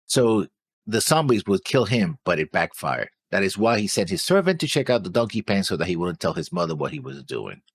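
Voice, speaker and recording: Male co-host, very low and husky, meandering thinker, dry close recording. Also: sing-song, brisk. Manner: thinks aloud, trails off. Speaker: reaction show co-host. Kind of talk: casual discussion.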